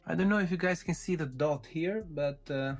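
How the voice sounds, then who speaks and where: Higher-pitched man narrating a casual tech walkthrough. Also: normal speed, soft background music. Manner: informal, step-by-step narrating while handling a device. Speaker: tech reviewer. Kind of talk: casual unboxing walkthrough commentary.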